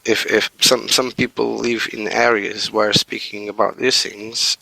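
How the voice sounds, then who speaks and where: Hesitant man, gravelly. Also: on the low side, little pitch movement, a little slow, sharp tone, no room sound, low-quality recording. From Portugal. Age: 40s. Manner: hesitant, with many fillers and restarts. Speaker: podcast guest. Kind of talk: casual interview talk.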